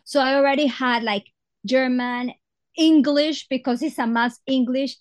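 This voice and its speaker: A woman from Ecuador with an Ecuadorian Spanish accent, a Spanish teacher and guest, chatting in an animated way with laughs and restarts. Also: high voice, unhurried. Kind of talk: casual interview talk.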